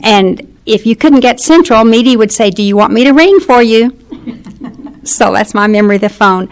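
A woman's voice: fairly high pitch, expressive, normal speed, poor audio. An older woman from western Oklahoma reminiscing in an interview, chatty and sometimes searching for words.

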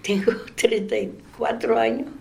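A woman in her eighties from the Dominican Republic with a Dominican accent, giving a soft-spoken oral history interview. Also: fairly high pitch, a little slow, slight background noise. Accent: Dominican Spanish. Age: 80s. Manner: soft-spoken, trailing off into quiet.

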